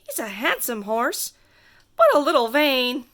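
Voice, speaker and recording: A woman reading a rhyming picture book aloud to children in a theatrical, sing-song way with drawn-out emphasis. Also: sits high, big pitch swings, a bit bright. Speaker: storybook reader.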